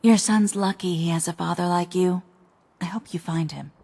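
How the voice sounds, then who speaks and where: Low-voiced office secretary. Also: female voice, fairly even intonation, normal speed, gravelly, mellow. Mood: quietly sympathetic. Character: guarded but kindly female office secretary. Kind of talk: video game voice acting.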